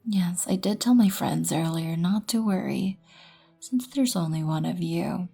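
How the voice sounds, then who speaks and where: A woman performs a regal vampire queen for an ASMR roleplay, low and breathy with a creaky edge. She speaks softly and with measured poise, haughty yet wryly merciful, over background music. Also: heavily acted. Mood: calm, firm. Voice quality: breathy, often near whisper.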